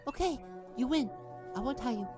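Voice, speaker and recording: A woman voicing an earnest, suspicious and self-important hero dog in an amateur performance of an animated film scene. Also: high-pitched, normal speed, loud music behind the voice. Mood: alarmed, excited. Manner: melodramatic, pompous.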